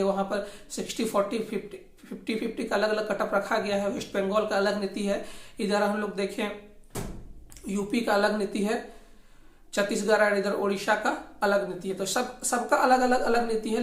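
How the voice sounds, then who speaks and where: A speaker with a Jharkhand accent giving informal political commentary, often repeating and rewording phrases in a halting way. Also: mid-range voice. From Jharkhand, India. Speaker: host of a regional news commentary channel. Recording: echoey, roomy sound.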